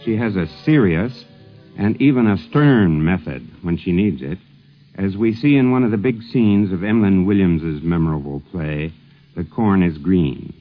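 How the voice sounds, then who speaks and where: A man with a low voice narrates as host of a 1950s radio program. His delivery is scripted, and the recording is dull and old.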